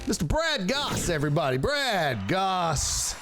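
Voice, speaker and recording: A man's voice: sits high, very animated, measured pace, over music. A man hosting a sports and entertainment talk show, chatting loosely with a guest, swearing casually and laughing easily. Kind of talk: casual interview chat.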